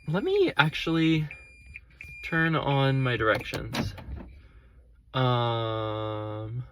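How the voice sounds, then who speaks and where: A man's voice: mid-range voice, lively intonation, extremely slow. Stuffy-nosed young man vlogging from car. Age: young adult. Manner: rambling, self-correcting. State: sick, nasal. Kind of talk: casual vlog while driving. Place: car on the road. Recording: car noise behind voice.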